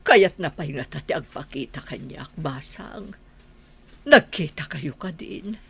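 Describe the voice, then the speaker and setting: Low, weary, sickly old mother. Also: animated, measured pace, vocal fry, husky, exaggerated voice. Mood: sad, weak. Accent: Ilocano. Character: sickly, sorrowful elderly mother, weary and longing. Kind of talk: Ilocano radio drama acting. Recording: noisy ambience under voice.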